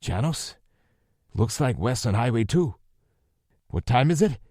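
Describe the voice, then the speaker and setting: A man's voice: deep voice, moderate pace, dark tone, no room sound, studio quality. A man voicing a ruthless, sarcastic male officer who barks commands. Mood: urgent. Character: gruff hard-edged male officer, ruthless.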